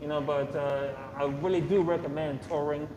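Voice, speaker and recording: A man in his thirties, raised in Nigeria, answering a podcast host's questions with a fairly high voice and plenty of "uh" and "you know". The room is echoey with a long reverb, and clear ambient noise runs underneath. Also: fairly even intonation, normal speed. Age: 30s. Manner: soft-spoken, hesitant, many fillers, short yes answers. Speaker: podcast guest. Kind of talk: casual interview answers.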